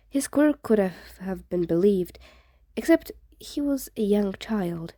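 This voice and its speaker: A woman's voice: medium pitch, dark tone, no room sound, clean studio sound. A woman reading fan fiction aloud as scripted narration, in the style of an audiobook. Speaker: fan fiction podfic reader.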